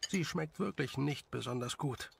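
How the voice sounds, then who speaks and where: A man's voice: a little high, normal speed, creaky, noisy background. A man reading scripted story narration as the narrator of an audio tale.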